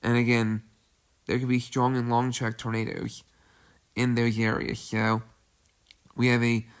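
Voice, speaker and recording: A man with a stuffy, congested voice hosting a casual severe weather briefing, halting and repeating himself with many fillers. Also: medium pitch, completely flat delivery, clean tone. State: congested from a cold. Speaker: weather video host. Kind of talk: casual weather briefing over outlook maps.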